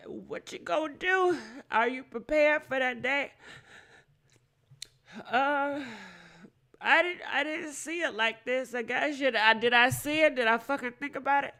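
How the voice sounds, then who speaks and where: High bright woman, stuffed up. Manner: frank, swears freely, muses aloud. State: stuffed-up nose. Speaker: host. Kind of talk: casual livestream talk.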